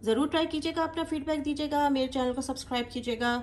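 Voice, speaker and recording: A woman's voice: high-pitched, steady tone, quick pace, a little hiss or hum. Woman, cooking voice-over. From Pakistan. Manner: friendly, explains each step plainly. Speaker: cooking channel host. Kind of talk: step-by-step recipe voice-over. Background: frying and sizzling.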